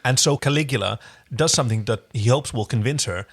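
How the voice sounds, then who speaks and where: A man with a mid-pitched voice talks as a podcast co-host. He recaps television casually and enthusiastically, in wordy, conversational runs. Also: brisk, vocal fry, breathy edge.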